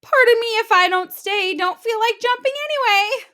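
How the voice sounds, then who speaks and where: A woman's voice: extremely high pitch, moderate pace, sharp tone, voice pushed up. Goldilocks, a woman doing a cheeky little girl. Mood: breezy.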